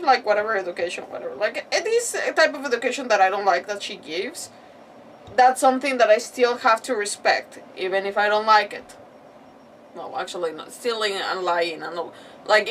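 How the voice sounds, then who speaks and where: A woman's voice: fairly high pitch, fairly bright tone. A woman streaming a game with casual, rambling commentary, reacting and arguing back at the characters. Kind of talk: casual live gameplay commentary. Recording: slightly distant mic, faint background noise.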